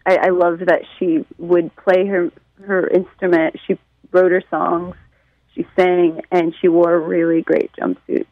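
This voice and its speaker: An American woman from Detroit, a musician, answering interview questions in a casual, animated storytelling way with a creaky voice. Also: lowish voice, unhurried, no room sound, phone-quality sound. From Detroit, United States. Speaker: musician and songwriter being interviewed.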